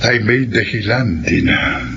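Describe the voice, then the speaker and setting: An earnest Filipino parish priest with a lowish voice, explaining things in a measured, didactic manner. The radio drama audio is dull, with clear noise. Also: male voice. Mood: earnest, grave.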